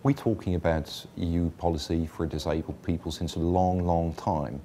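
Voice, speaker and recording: A man's voice: sits low, steady tone, moderate pace, dark tone, a little hiss or hum. A man interviewing a guest, asking probing questions with some hesitant fillers.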